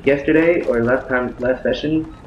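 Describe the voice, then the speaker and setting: A young man casually chatting and joking while playing a shooter game, rambling with deadpan asides. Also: mid-range voice, moderate pace, dull audio, a little hiss or hum. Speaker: gameplay commentator. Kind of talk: casual gaming chat. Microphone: desktop mic with audible clicking. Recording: echoey room, loud gunfire behind. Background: video game gunfire.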